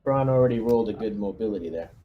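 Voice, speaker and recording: A man's voice: on the low side, quick pace, phone-quality sound. A man playing a tabletop game as squad leader, chatting casually and partly in character.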